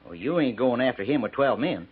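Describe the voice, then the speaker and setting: A man's voice: mid-range voice, expressive, brisk, close to an everyday voice. Man acting a folksy, rambling old frontier hand. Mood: curious, skeptical. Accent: American. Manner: folksy, rambling, hesitant drawl. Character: lonely, rambling old frontier horse station keeper. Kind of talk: 1950s western radio drama acting. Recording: noisy, tinny 1950s radio recording.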